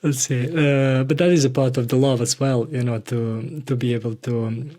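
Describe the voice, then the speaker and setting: A man hosting a reflective interview, speaking hesitantly and haltingly as he searches for words. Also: mid-range voice, steady tone, mellow. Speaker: podcast host interviewing a guest.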